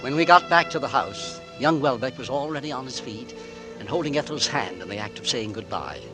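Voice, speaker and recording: A man's voice: medium pitch, expressive, music in the background, natural voice, low-quality recording. Actor as courteous suspicious man, old radio drama. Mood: musing. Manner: courteous, measured, storytelling delivery. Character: Edward Mummery, mild, polite homeowner growing quietly suspicious. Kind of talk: 1940s radio acting, first-person narration and dialogue. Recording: vintage radio drama with musical score.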